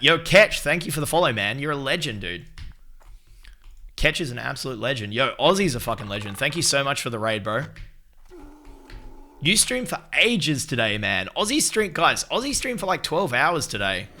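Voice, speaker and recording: A man live-streaming a game and chatting to viewers in an excitable, swearing way, recovering from a lost voice. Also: medium pitch, normal speed, slight background noise. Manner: excitable, swears, shouts out chat members. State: recovering from losing his voice. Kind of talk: casual gaming stream chat.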